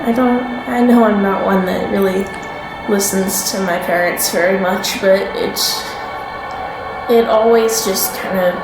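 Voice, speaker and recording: An actress as an uneasy young woman in a naturalistic audio drama. She talks slowly and haltingly, often trailing off, in an echoey space with clear music underneath. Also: medium pitch, fairly even intonation, natural voice. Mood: hesitant, uneasy. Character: hesitant, uneasy young woman living in a strange house. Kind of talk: naturalistic fiction podcast acting. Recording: roomy echo, music underneath.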